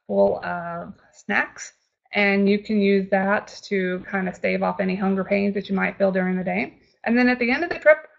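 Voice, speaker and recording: Gravelly Texas woman hosting a travel webinar. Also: mid-range voice, dull audio. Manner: friendly, chatty, with frequent ums and restarts. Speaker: travel agent presenting a webinar. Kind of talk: webinar presentation.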